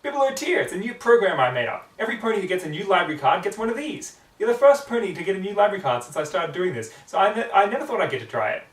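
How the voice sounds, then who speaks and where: A man voicing a young female unicorn librarian, earnest, fussy and easily excited, in a story reading. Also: sits high, fast talker, hardly any pauses, roomy sound, recorded from a distance, pitched above the performer's own voice, poor audio. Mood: gushing, excited. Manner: exasperated, fussy, prone to gushing.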